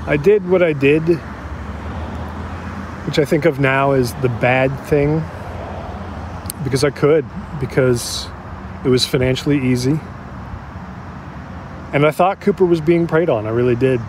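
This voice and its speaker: An actor playing a regretful man confiding in an interviewer, in a halting, reflective, conversational way for an audio drama. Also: mid-range voice, background noise, lightly acted.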